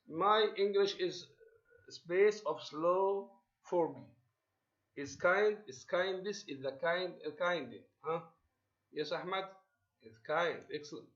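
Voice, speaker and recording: A man teaching an English class, halting with many fillers and switching between English and Arabic. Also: on the high side, a bit bright. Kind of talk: online classroom lesson. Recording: muffled lo-fi audio.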